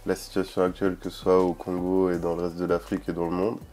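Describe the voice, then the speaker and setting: A man's voice: deep voice, completely flat delivery, fast, a little mellow, soft background music. A man hosting an interview, asking short questions and giving brief affirmations and a polished sign-off. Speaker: interviewer, show host.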